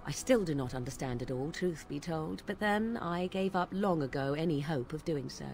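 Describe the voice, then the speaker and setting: An actress playing a proud, sardonic young witch of the wilds in a fantasy game, speaking in formal, archaic phrasing with deliberate care. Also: sits low, a little mellow, a little hiss or hum. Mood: reflective, guarded.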